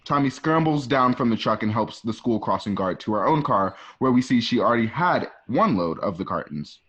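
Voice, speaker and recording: A slightly muffled, lo-fi home recording of a man narrating a radio drama. He has a mid-pitched voice and reads scene directions, sometimes tripping over a phrase and fixing it. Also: very animated, normal speed, fairly bright tone, breathy edge. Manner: stumbles and corrects himself while reading. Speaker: narrator reading screen directions.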